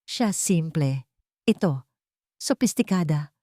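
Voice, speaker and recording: Female story narrator, slightly low register, dry recording, scripted dramatic delivery. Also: lively intonation, slow, mellow, no room sound.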